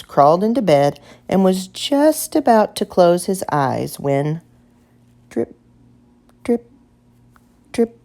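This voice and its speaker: A woman reading a children's picture book aloud as expressive, scripted narration. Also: lowish voice. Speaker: storybook reader.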